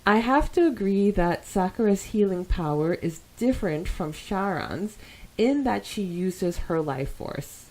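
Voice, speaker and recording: A woman's voice: mid-range voice, expressive, unhurried, darkish tone, low-quality recording. A woman co-hosting a casual fan podcast, reading out listener comments and reacting aloud in an informal, conversational way. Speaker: podcast co-host.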